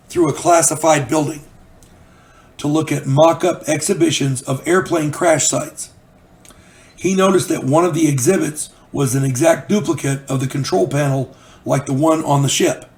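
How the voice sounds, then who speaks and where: Older man, host, casual storytelling, rambling restarts, recovering from a cold, slightly high pitch. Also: darkish tone. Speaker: channel host.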